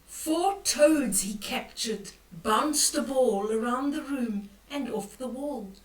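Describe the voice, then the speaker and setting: An older woman reading a picture book aloud to children, expressive and dramatic, with hushed whispers and exclamations as she comments on the pictures. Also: a little high, takes it slowly. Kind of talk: bedtime read-aloud with asides on the pictures. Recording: roomy echo, distant from the mic.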